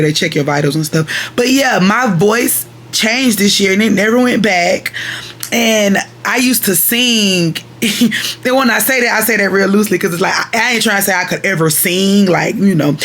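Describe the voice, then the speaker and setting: Woman vlogger, raspy and gravelly, rambling and chatty. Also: mid-range voice, a bit bright. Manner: rambling, chatty, direct with viewers. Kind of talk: casual chat to viewers. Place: at home at her vanity.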